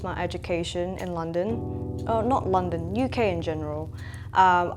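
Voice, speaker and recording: A woman in her twenties from Hong Kong, talking in a sit-down interview as a makeup artist, pausing often with "um" and laughing between thoughts. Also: lowish voice. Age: 20s. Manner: reflective, frequent "um" fillers, laughs between thoughts. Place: bright room indoors, seated in armchair. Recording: music playing clearly behind.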